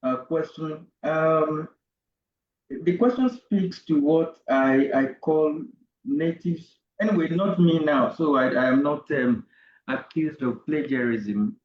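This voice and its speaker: Nigerian man, middle-aged, deliberate anecdotal delivery, muffled roomy call, faint background noise. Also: a little high. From Nigeria, Ogun State. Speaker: interview guest, university professor. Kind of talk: personal anecdote in an academic interview.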